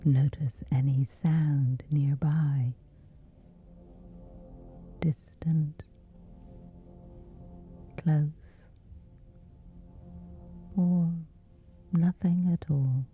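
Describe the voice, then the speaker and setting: A woman with a whispery voice, slowly and gently guiding a sleep meditation. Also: low voice, fairly even intonation, phone-quality sound, soft background music. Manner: slow, soothing, unhurried. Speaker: meditation guide.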